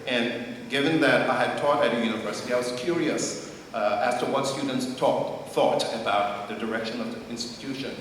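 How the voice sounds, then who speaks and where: A man's voice: mid-range voice, normal speed, a bit bright. A man from Liberia giving a formal tribute speech, emphatic and repeating key points for stress. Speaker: speaker presenting an honoree. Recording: echoey hall, faint noise.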